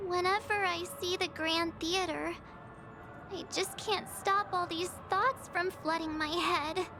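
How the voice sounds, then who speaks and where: A very high, girlish game heroine, sweet and earnest, speaking slowly. She sounds wistful and a little fretful, with clear background noise behind her. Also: steady tone. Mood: worried, wistful. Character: sweet, sensitive young girl, cheerful but fretful. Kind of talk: video game story dialogue acting.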